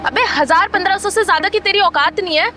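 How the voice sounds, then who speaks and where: A woman's voice: extremely high pitch, fast talker, over music. A young woman playing an arrogant, argumentative customer in a short moral drama. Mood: haughty. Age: young adult. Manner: haughty, argumentative. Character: arrogant, haggling, rude young female customer.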